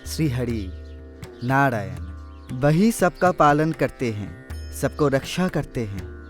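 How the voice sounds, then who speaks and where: Slow-spoken gentle divine sage. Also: male voice, mid-range voice, sing-song. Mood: gentle teaching. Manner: chanting catchphrase, measured, instructive. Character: gentle devout divine sage, calm teacher. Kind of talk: cartoon mythological dialogue. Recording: faint music behind.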